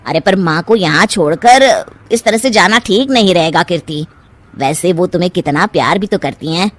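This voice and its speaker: A woman's voice: on the low side, moderate pace, natural voice. A woman voicing a hesitant, henpecked young husband in a cartoon family drama, placating in manner.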